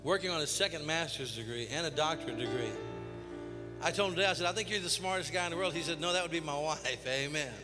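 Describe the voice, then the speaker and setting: Male pastor, a bit high voice, music under, big hall echo. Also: fast. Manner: warm-up host, calls out amen. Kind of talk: introducing a guest in church. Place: large church sanctuary. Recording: church hall reverb with music.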